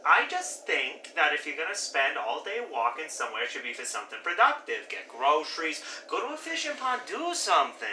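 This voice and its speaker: A man's voice: fairly high pitch, very animated, fairly quick, few pauses, bright, forceful tone, slight background noise, exaggerated voice, low-quality recording. A young man in an audio drama voicing a sulky boyfriend who mutters sarcastic complaints. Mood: grumbling. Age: young adult.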